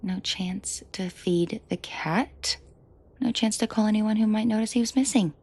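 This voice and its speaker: A woman playing a commanding, protective mafia boss in an intimate roleplay audio drama, shifting between cold authority and soft coaxing. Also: mid-range voice, a little slow, breathy edge. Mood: furious, scornful. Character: commanding female mafia boss, furious yet protective and tender.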